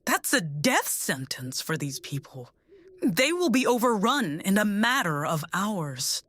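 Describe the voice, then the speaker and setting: A woman's voice: mid-range voice, big pitch swings, unhurried, a bit bright. A woman playing a blunt, urgent, commanding army general in a fantasy audio drama. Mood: alarmed.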